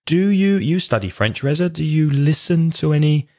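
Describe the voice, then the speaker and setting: A man hosting a podcast for English learners, his voice raspy and croaky, explaining grammar in a clear, teacherly way. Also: fairly high pitch, animated, moderate pace, warm tone. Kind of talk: casual podcast chat.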